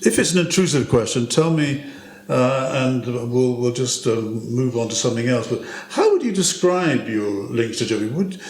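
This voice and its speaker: A man hosting a conversational interview, friendly and quick to laugh. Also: mid-range voice, expressive, a little mellow. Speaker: interview host. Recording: echoey room.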